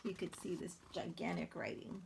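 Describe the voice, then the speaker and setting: A woman reading a picture book aloud in a chatty, hesitant way, pausing to explain the pictures. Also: lowish voice, vocal fry, background noise. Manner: chatty, hesitant with many fillers. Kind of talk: casual read-aloud with commentary.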